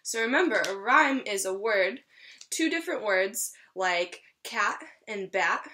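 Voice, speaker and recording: A woman's voice: medium pitch, animated, a little slow, sharp tone, slight background noise. A woman in her twenties, a teacher reading a picture book aloud to young children at home. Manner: clear storytelling for young children. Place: small room at home, indoors.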